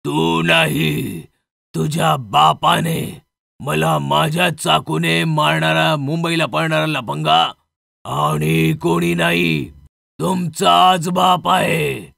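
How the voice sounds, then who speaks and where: A man voicing the vengeful, menacing ghost of a murdered mango seller, speaking in slow threats in a horror story. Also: on the high side, fairly even intonation, creaky, sharp tone, no echo, studio quality, exaggerated voice.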